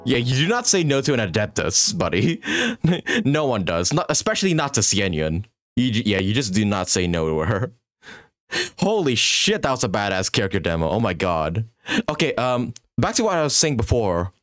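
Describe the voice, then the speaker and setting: A young man giving casual, excitable reaction commentary as a streamer, slangy and quick to swear. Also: lowish voice, dry recording, studio quality. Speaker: streamer reacting to a game trailer.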